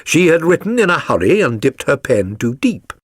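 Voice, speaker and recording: A man's voice: on the high side, very animated, normal speed, breathy edge, dry recording, studio quality. A man voicing a keen, self-assured detective for an audiobook, with a British accent and a precise, lecturing, condescending manner. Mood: pleased, instructive. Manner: precise, didactic, theatrical. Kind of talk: dramatised audiobook reading.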